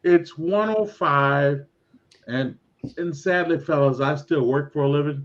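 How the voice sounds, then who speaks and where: A man in his forties rambles through his points on a group call, restarting and swearing as he goes. His voice is notably high for a man. The call audio comes through dull and lo-fi. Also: measured pace. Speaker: guest on a group stream. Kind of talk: casual group chat.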